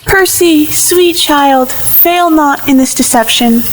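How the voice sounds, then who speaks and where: Grandiose high-voiced mythic great-grandmother spirit. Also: takes it slowly, rough recording. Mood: solemn invoking. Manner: grandiose, incantatory. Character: dramatic great-grandmother spirit invoking the gods. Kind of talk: theatrical mythic invocations in an audio play. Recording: loud music under the voice. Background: music.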